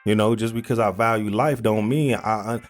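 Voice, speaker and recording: A man's voice: on the low side, fairly even intonation, normal speed, soft background music. A man in his thirties co-hosting a podcast, talking emphatically and profanely. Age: 30s. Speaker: podcast co-host. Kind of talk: casual chat.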